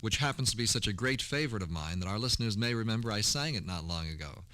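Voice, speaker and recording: A man's voice: on the low side, expressive, fast talker, bright, forceful tone, no echo. A man hosting a scripted 1960s radio program in a formal, courteous announcer manner. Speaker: radio host.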